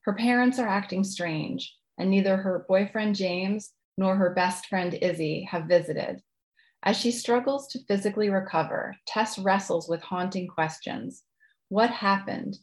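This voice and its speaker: A woman's voice: medium pitch, unhurried. A woman speaking as a guest author, polite and modest in manner. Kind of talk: casual interview chat, then reading own writing aloud.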